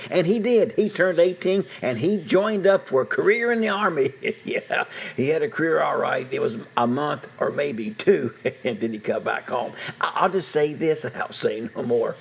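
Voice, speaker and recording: A man's voice: medium pitch, creaky, fairly bright tone, slight background noise. An older man from West Virginia, telling a folksy true story from his childhood, chuckling often and dropping to a whisper for suspense.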